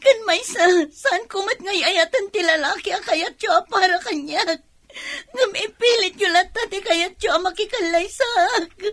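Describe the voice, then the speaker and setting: An anguished young daughter in a radio melodrama, with an extremely high, piercing bright voice. She pleads and protests tearfully, defiant even in her distress. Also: moderate pace. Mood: tearful pleading. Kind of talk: melodramatic radio drama acting.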